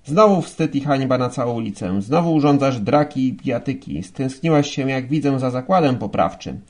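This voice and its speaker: A furious police lieutenant from a classic novel audiobook, acted out by a male narrator. He is pompous and blustering, scolding indignantly at a raised pitch and high volume, with a creaky edge. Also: animated, moderate pace, darkish tone. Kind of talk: audiobook character acting.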